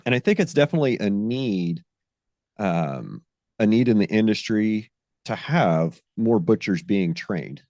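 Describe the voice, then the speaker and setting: A man's voice: on the low side, expressive, unhurried, darkish tone, dry recording, clean studio sound. A man hosting a ranching podcast, chatting casually with hesitant restarts. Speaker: podcast host. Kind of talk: interview conversation.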